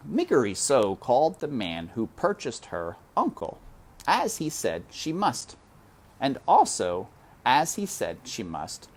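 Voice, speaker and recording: A man reads a children's novel aloud at an unhurried pace, with a lively, expressive pitch. He lingers on dramatic moments and pauses to speak directly to his listeners. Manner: dramatic, addresses listener directly, slow and deliberate. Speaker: reader.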